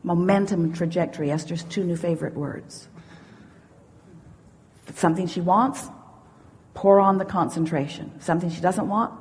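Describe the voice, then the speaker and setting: A woman giving a motivational spiritual talk as a teacher, speaking as "we", emphatic and deliberate, repeating phrases for emphasis. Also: lowish voice, a little mellow, slight background noise. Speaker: spiritual teacher answering audience questions. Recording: echoey hall with long reverb.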